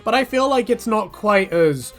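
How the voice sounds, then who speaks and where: A man casually answering viewer questions in a rambling, animated way, his pitch jumping up whenever he slips into quoted asides. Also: normal speed, faint music behind. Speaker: YouTube creator answering fan questions. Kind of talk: casual Q&A monologue.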